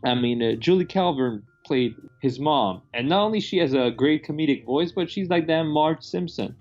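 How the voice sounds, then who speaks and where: Gravelly man in casual, opinionated film chat. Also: a little high, animated, normal speed, a little mellow, highs cut off, soft background music. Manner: rambling, opinionated, casually profane. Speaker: movie review host.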